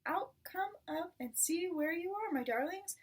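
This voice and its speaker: A woman voicing an excitable, boastful father fox from a storybook, affectionate but bossy, with theatrical exclamations. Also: very high-pitched, unhurried, far from the mic, pitched above the performer's own voice. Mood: jubilant. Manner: theatrical exclamations and firm orders.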